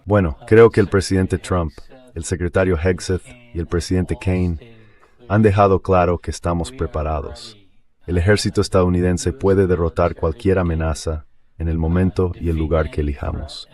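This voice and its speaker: A man answering formally as a government defence official in an interview, in a measured, guarded manner. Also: deep voice, fairly even intonation, a little mellow.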